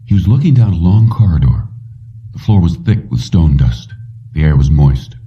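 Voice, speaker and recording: A man with a very low voice narrates an audiobook in measured, dramatic phrases. The recording is slightly muffled and sounds a little away from the mic. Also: animated, distant mic. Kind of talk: scripted narration of a suspense story.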